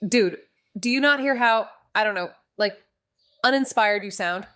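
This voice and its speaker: A woman's voice: mid-range voice, moderate pace, a bit bright, no room sound, studio quality, close to an everyday voice. A woman playing a brash, sarcastic young sleuth. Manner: casual, sarcastic, filler-heavy. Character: brash, impulsive young woman amateur detective. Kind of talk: audio drama acting.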